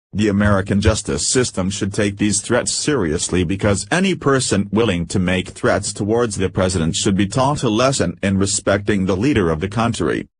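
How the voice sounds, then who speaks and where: A man's voice: fairly low pitch, breathy edge, dry recording. A man reading a scripted news report word for word in a stiff, mechanical manner. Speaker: news narrator. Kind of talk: scripted news narration, read verbatim.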